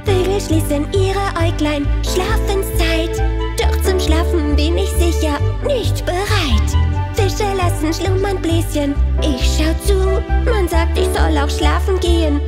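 Defiant little cartoon princess, extremely high and unhurried, loud music behind. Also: female voice. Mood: determined. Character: stubborn little princess girl, sweet but defiant. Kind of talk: German children's cartoon dubbing.

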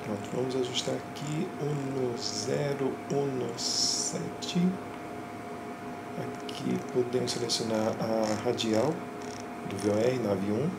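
A man explains his homemade flight panel in a steady, methodical way. His voice echoes around a small room. Heavy fan and engine hum sits underneath him the whole time. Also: medium pitch, slow pace, creaky. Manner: calm, methodical, pointing things out. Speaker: flight sim hobbyist. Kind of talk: casual show-and-tell narration. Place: home simulator room, indoors. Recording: roomy, with loud fan and engine noise.

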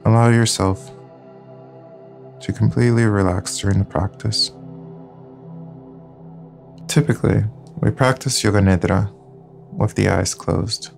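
A low, gravelly male voice guides a slow meditation, speaking softly and calmly with long pauses between phrases. Faint music plays underneath. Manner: soft, calm, unhurried instructions with long pauses. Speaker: yoga nidra guide.

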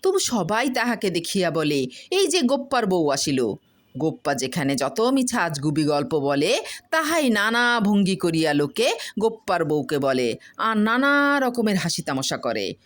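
A woman storyteller giving an expressive scripted narration of a humorous folk tale. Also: fairly low pitch, moderate pace.

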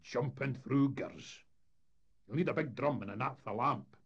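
Storybook role: sardonic, sceptical ship's engineer, played by a man, rough and creaky. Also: medium pitch, unhurried, highs cut off, voice pushed up. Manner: ironic, dark, sceptical. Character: dour, sarcastic Scottish ship's engineer. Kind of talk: storybook character voice in humorous tale.

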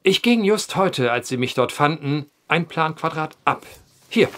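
An actor playing an earnest, determined gentleman writer in a German audio drama, speaking with precise, old-fashioned eloquence. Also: on the high side, expressive, unhurried, slight background noise. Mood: determined, explanatory.

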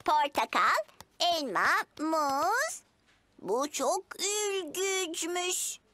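A woman voicing a cheerful, curious little girl pig in a preschool cartoon, with childlike, expressive delivery. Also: very high voice, drawn-out words, no room sound, full character voice. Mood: excited. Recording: music under the voice.

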